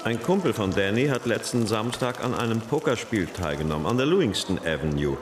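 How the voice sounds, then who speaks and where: An actor playing an insistent police detective briefing a colleague pointedly in a radio crime drama. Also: male voice, lowish voice, animated, brisk, roomy sound, noisy background, natural voice.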